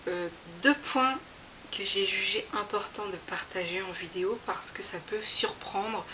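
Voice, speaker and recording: A woman speaks casually to her viewers in a home vlog, talking in a reassuring, chatty way and often pausing on small fillers. Her pitch sits in an ordinary middle range. A constant hiss runs underneath the recording. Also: bright, forceful tone. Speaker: vlogger sharing her weight-loss progress. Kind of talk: casual direct-to-camera vlog. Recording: audible background hiss.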